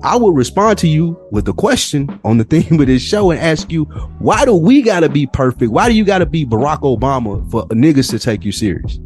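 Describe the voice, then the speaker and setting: A man's voice: a little high, sing-song, a little fast, darkish tone. An American man co-hosting a podcast, talking casually and emphatically at length, repeating phrases to drive his opinions home. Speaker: podcast co-host. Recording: music playing behind the voice. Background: music.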